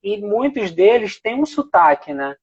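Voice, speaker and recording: A man's voice: sits high, sing-song, unhurried, husky, dull audio. A man hosting a live online chat for a dubbing school, friendly and asking his guest questions.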